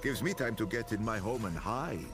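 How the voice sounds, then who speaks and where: A man's voice: fairly low pitch, lively intonation, moderate pace, lightly acted. A man playing a humble, friendly farmer with a folksy way of talking in a video game. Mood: friendly, grateful. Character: humble, grateful male farmer, friendly and wry. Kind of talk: video game character acting. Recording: music behind the voice.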